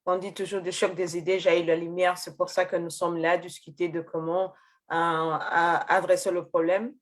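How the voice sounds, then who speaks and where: A woman moderating an online meeting over a video call, speaking with many pauses and fillers as she thanks speakers and passes the floor. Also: fairly low pitch, flat delivery.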